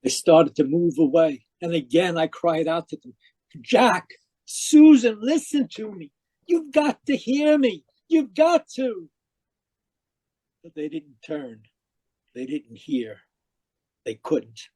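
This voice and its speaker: Man playing desperate dead man, soft mellow high voice. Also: sing-song, slightly rough, dry recording, heavily acted. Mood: desperate pleading. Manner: pleading, dramatic, halting. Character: desperate, tormented dead man narrating his afterlife. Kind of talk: old-time radio mystery acting. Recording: live video call performance.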